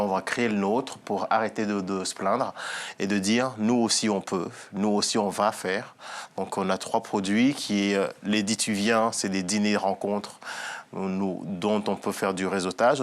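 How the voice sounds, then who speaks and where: A man in his late thirties talks about his business projects as a guest on a television talk show. His voice sits fairly low, and he speaks earnestly, pausing and restarting often as he searches for words. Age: late 30s. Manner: hesitant, many fillers and restarts, earnest. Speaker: entrepreneur guest.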